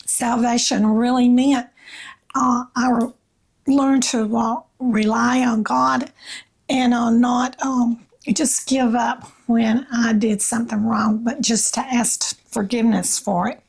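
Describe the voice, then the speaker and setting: A woman with a Southern US accent and a slightly raspy voice, chatting casually about faith in a gentle, halting way with pauses. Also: on the high side, steady tone. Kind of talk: casual devotional chat with read-aloud passages.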